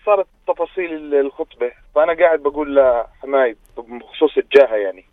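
A Jordanian man in his thirties phones in and rattles off a personal anecdote. His voice is middle-pitched and very fast. The phone line is thin and dry. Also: no room sound. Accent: Jordanian Arabic. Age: 30s. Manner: brisk anecdotal storytelling. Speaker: caller.

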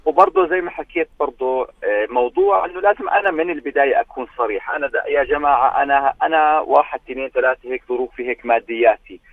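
A man calls in with a firm opinion, stumbling and restarting as he pushes his point. He talks quickly at a middle pitch. The call comes through a thin, phone-like line. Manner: emphatic, halting with restarts. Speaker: caller.

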